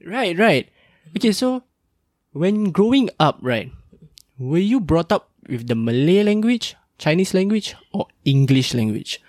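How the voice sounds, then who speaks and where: Young male podcast host, slightly high voice, dry close sound, upbeat and chatty. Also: big pitch swings, unhurried, darkish tone, dry recording. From Singapore. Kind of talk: casual interview chat.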